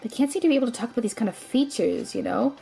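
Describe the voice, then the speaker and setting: Woman acting as young female student hacker, high-pitched, animated, studious, anxious, precise, fantasy audio drama. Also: very fast, creaky, breathy edge, exaggerated voice. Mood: analytical, curious.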